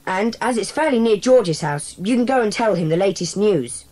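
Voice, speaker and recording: A woman playing a brisk, decisive schoolboy who leads a secret club in a dramatised children's audiobook. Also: mid-range voice, animated, darkish tone, natural voice, poor audio. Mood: brisk, commanding. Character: bossy, sensible schoolboy leader of a secret club.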